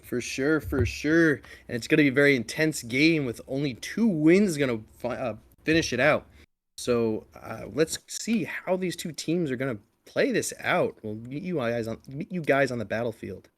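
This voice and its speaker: A man giving live esports commentary and analysis as a shoutcaster. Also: mid-range voice, lively intonation, normal speed.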